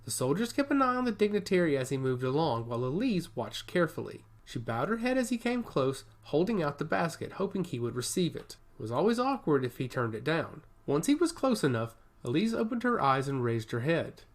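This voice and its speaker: A man's voice: a little high, very animated, brisk, few pauses. A man narrating a scripted fantasy story as its storyteller.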